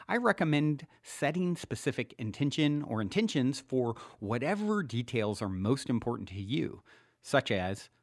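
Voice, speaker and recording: A man reading a self-help book aloud as scripted narration, in a measured, instructional way with clear phrasing. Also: mid-range voice, no echo, clean studio sound. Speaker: podcast host reading his own self-help book.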